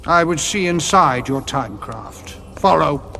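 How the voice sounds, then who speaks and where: An actor playing a solemn, haughty alien sorcerer priest in a science fiction audio drama, with grandiose, archaic speech. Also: male voice, on the high side, animated, a little slow, creaky, pushed and bright, slight background noise, heavily acted. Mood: cold, probing.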